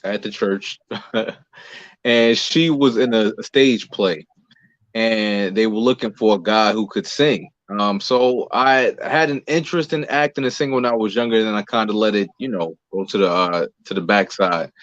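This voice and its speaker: A man's voice: on the low side, normal speed, fairly bright tone, no echo. A man from New Jersey answers as a guest in a casual interview. He sounds humble and easygoing. The audio is dull and lo-fi, with very little room sound. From Newark, New Jersey, United States. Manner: humble, easygoing, chuckles readily. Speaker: interview guest, singer and playwright.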